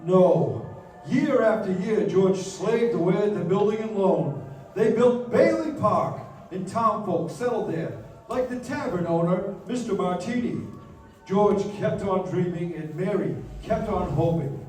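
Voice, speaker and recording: A man playing a senior angel who patiently narrates a life story in a measured, explanatory way in a radio play. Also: high voice, animated, darkish tone. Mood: storytelling. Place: live stage in a dance hall. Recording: echoey dance hall, distant, faint music.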